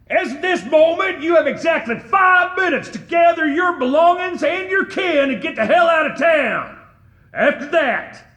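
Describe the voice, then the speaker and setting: Western radio play: menacing outlaw, theatrical shouted ultimatum, piercingly high, echoing. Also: male voice, full character voice. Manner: shouting, theatrical, slow proclamation. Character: vengeful outlaw issuing a menacing ultimatum to a town. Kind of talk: western audio drama acting.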